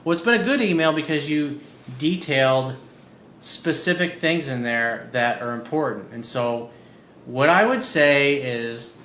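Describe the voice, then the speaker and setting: A man working as a dating coach, giving blunt, profane advice as he reads out listener emails. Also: a little slow. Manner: blunt and profane, animated, repeats key points. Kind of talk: casual advice monologue reading out emails. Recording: roomy echo with faint low rumble.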